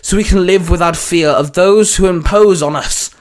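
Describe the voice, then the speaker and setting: A man voicing a power-mad doctor tyrant with theatrical, drawn-out proclamations in a fan fiction story. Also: high-pitched, voice pushed up, natural voice. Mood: grandiose command.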